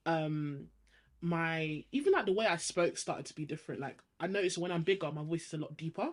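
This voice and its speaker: A young woman, co-hosting a casual podcast and telling her story in a quick, animated way. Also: low-pitched. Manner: fast, animated storytelling with frequent laughs. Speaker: podcast co-host.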